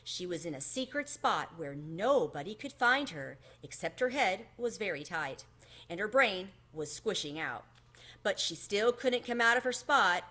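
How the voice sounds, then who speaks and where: A woman reading a children's book aloud expressively, in an animated storytelling manner. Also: mid-range voice. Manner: animated, acts out the dialogue.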